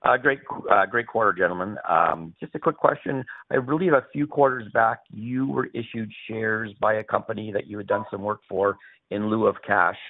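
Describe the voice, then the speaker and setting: A man's voice: on the low side, normal speed, no echo. A man calling in as an investor to ask a question on an earnings call. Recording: dry, phone-like call line.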